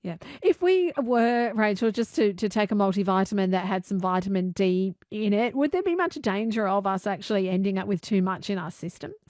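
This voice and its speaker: A woman's voice: medium pitch, animated, fairly quick, hardly any pauses, a little mellow, no room sound. A woman, casually interviewing as a talk radio host. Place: radio studio.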